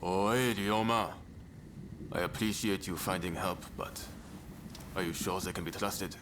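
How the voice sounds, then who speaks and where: Stern samurai rebel for a video game, low voice, hard and ruthless, some noise behind. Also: male voice, a little slow. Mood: guarded, businesslike. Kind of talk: video game cutscene acting.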